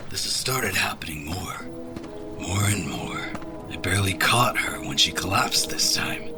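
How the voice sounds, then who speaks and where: A troubled, tired male companion in an audio drama. His voice sits very low and moves at an unhurried pace, full of concern and sighs, over clearly audible music. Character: worried, weary male companion guarding a fragile seer.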